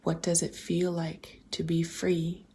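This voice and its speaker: A woman leading a guided visualization, speaking softly and breathily in gentle, deliberate questions that often slip toward a whisper. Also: lowish voice, completely flat delivery, a little hiss or hum. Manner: gentle, deliberate, posing open questions with pauses. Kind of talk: slow guided meditation, reflective questions.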